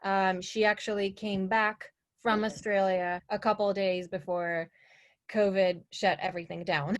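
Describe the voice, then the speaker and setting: A woman's voice: medium pitch, little pitch movement, measured pace. A woman hosting a live online workshop over a video call, reading guest introductions and viewer comments in an upbeat way. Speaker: host.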